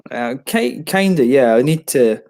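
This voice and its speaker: Man, 30s, casual rambling hangout talk over a headset, mid-pitched and relaxed, frequent self-corrections. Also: unhurried. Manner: rambling, many restarts and fillers. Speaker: beer reviewer on a video hangout. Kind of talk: casual chat.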